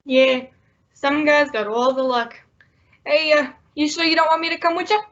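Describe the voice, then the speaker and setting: A woman's voice: sits high, steady tone, normal speed, fairly bright tone, muffled recording. A woman playing a casual, slangy, streetwise male sidekick in an old-time radio detective drama. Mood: curious, wry.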